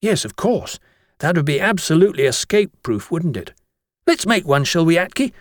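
A man voicing an eager, excitable schoolboy bursting with plans. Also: on the high side, normal speed, dry recording, studio quality, pitched above the performer's own voice. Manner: eager, enthusiastic.

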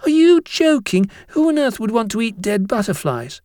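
A man's voice: high-pitched, big pitch swings, a little slow, no echo, clean studio sound, pitched above the performer's own voice. A man voicing a sceptical, curious schoolboy with a blunt, incredulous manner in a storybook.

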